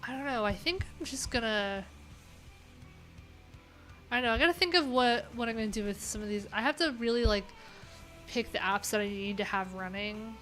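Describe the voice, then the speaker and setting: A woman's voice: fairly high pitch, moderate pace, pauses often, fairly bright tone, soft background music. Chatty rambling woman streamer. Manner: chatty, rambling, many fillers and restarts. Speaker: live coding streamer. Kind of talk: casual livestream chat.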